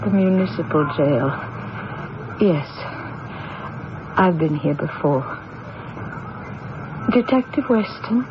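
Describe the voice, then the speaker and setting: An actress playing a poised, wealthy widow accused of killing her husband, speaking in a measured, guarded, formal way in an old-time radio mystery style. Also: on the low side, expressive. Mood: weary, bitter. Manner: measured, guarded, formal, halting when recounting. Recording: noisy, muffled old radio recording.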